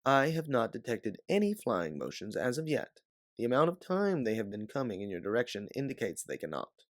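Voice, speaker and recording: A man's voice: medium pitch, big pitch swings, fairly quick, dry recording, lightly acted. A man voicing a precise, dry helmet AI companion that gives clipped, formal reports in a sci-fi audiobook. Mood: detached, analytical.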